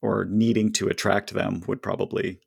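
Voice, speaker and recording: A man's voice: lowish voice, level tone, normal speed, vocal fry, husky, no echo. A man co-hosting a podcast, reading listener questions aloud and joking dryly. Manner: dry, wry, reads listener letters aloud. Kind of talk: casual podcast chat.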